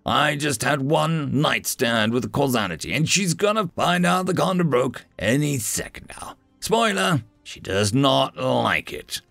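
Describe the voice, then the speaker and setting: A man voicing a gruff, weary, crude ape-man god in a narrated fantasy story, grumbling and sardonic with a growling edge. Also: a little high, big pitch swings, unhurried, a bit bright, no room sound, soft background music, pitched above the performer's own voice, heavily acted. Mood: irritated, urgent.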